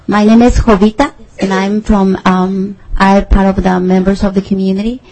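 Gravelly-voiced woman, careful English. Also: medium pitch, flat delivery, normal speed, mellow, low-quality recording. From Mexico. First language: Mazahua. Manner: careful, searching for words in a third language. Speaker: testifier at a public hearing. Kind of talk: public testimony. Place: legislative committee hearing room.

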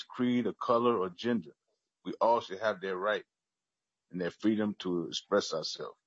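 A middle-aged man from Chicago with an American accent gives earnest personal testimony in a halting way, repeating words as he goes. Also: fairly low pitch, breathy edge. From Chicago, United States. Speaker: public witness at a legislative hearing. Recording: muffled, echoey video call.

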